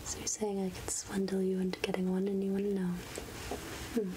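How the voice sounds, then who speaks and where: A woman playing a soothing, wry outcast witch healer in a soft, whispered ASMR roleplay, speaking gently with musing pauses. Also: medium pitch, completely flat delivery, background noise, close to an everyday voice.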